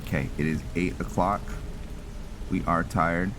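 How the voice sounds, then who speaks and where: Very low, slow-talking man doing gameplay commentary. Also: fairly even intonation, background noise. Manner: thinking aloud, halting. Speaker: gaming channel host. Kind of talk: casual roleplay gameplay commentary.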